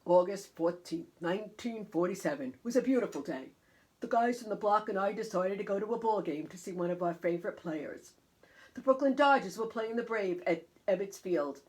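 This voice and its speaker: A woman voicing a bony old uncle from Brooklyn who tells animated stories, in a storybook reading. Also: medium pitch, close to an everyday voice.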